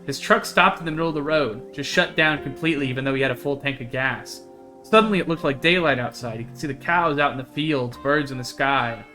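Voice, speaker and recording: A man's voice: mid-range voice, a little fast, pushed and bright, close to an everyday voice. A man voicing a chatty, earnest young woman who hunts cryptids in a visual novel, with a folksy, eager way of talking. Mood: excited. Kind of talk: visual novel dialogue read aloud. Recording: music behind the voice.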